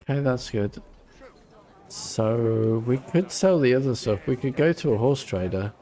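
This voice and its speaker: A man's voice: mid-range voice, lively intonation, measured pace, mellow. A man giving casual playthrough commentary as a game streamer, muttering as he thinks aloud.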